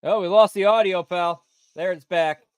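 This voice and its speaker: A man's voice: sits high, normal speed, smooth, no echo. A man hosting a live wrestling watch-along, chatting casually and tacking "pal" onto his remarks. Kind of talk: casual live commentary over a broadcast.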